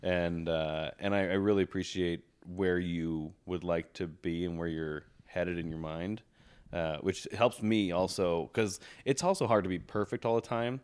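A man's voice: deep voice, fairly even intonation, normal speed, darkish tone, clean tone. A man in his thirties co-hosting a podcast, chatting casually and rambling with many restarts. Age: 30s. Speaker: podcast co-host. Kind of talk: casual chat.

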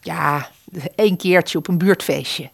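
Woman acting a bashful older landlady, husky voice. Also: fairly low pitch, slow, exaggerated voice. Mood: wistful. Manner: coy, hesitant, modest. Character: bashful, flattered older landlady reminiscing about singing. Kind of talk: radio play acting.

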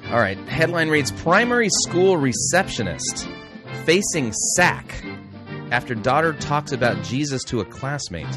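A man's voice: on the high side, big pitch swings, unhurried, music in the background. A middle-aged man hosting a talk show, reading news aloud with rambling, self-correcting, wry asides. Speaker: radio host. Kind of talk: casual talk show commentary.

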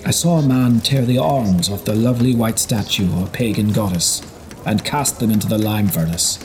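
A man reading a historical novel as scripted narration, in a measured storytelling delivery. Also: lowish voice, slight background noise. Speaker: audiobook narrator.

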